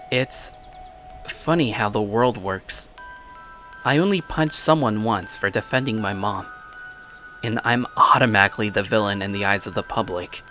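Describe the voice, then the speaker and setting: A man's voice: lowish voice, sharp tone. A man playing a bitter, downtrodden teenage schoolboy who narrates his own story in a dramatic first-person style. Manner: brooding, self-pitying.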